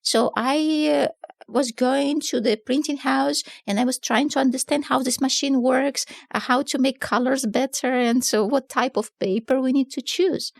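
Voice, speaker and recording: A middle-aged woman from southern Russia with a Russian accent, a newspaper publisher telling her story in a relaxed interview, with many fillers and non-native grammar. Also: high-pitched, moderate pace, creaky, no echo. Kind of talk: casual conversational storytelling.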